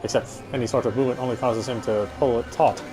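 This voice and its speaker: A man's voice: medium pitch, steady tone, fast talker, music in the background. A man reading a horror visual novel aloud as first-person narrator, with a dramatic, tense delivery.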